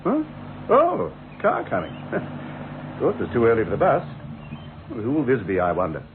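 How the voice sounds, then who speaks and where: An actor playing a genial, hesitant, politely old-fashioned husband in a radio play. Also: male voice, mid-range voice, wildly swooping pitch, moderate pace. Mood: surprised, friendly. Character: genial, flustered gentleman husband. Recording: noisy, tinny old radio recording.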